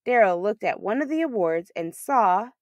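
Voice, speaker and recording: A woman reading a children's picture book aloud as a storyteller, with expressive, emphatic delivery. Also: mid-range voice, moderate pace, no echo, lo-fi sound.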